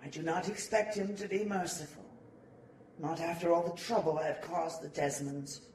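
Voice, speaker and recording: A man's voice: fairly high pitch, steady tone, normal speed, darkish tone, lightly acted. A man playing a remorseful, centuries-old servant in a gothic audio drama, speaking in a brooding, formal, theatrical way. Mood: bitter remorse. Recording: roomy, distant, noisy amateur recording.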